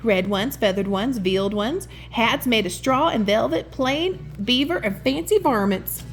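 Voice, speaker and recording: A woman's voice: on the high side, expressive, unhurried. A woman reads a picture book aloud expressively, with affectionate, chatty asides to the listener. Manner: affectionate, addresses the listener as sweetheart. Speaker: children's storybook reader and host. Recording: music behind the voice. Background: music.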